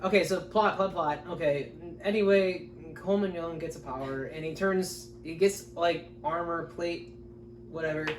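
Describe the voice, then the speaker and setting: A man's voice: on the high side, normal speed. A man chatting casually and unscripted in a film review, rambling off track and swearing in exasperation. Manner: profane, exasperated, digressive. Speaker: co-host of a film review show. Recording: echoey room, distant mic, faint noise.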